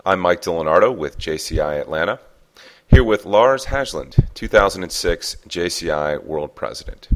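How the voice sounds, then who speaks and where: A man hosting a podcast, asking scripted interview questions in a smooth, welcoming way. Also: sits low, lively intonation, normal speed. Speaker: podcast host.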